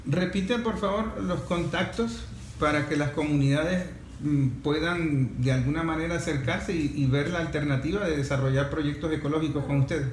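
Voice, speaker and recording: A man's voice: fairly high pitch, normal speed, a little hiss or hum. A man with a Venezuelan accent hosting a talk programme, speaking earnestly and repeating phrases for emphasis. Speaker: programme host. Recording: roomy echo, faint music behind.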